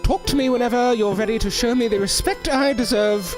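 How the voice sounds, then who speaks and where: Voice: medium pitch, fairly quick, music in the background, natural voice. A performer playing a bitter, boozy, self-important washed-up ventriloquist in an audio drama, theatrical and condescending. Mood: furious.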